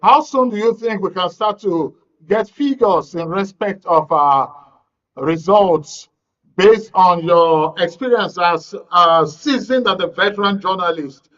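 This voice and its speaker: A man's voice: high voice, a little slow, a bit bright, muffled recording. A man with a Nigerian accent hosting live election coverage, courteous and often repeating his phrases. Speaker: programme host.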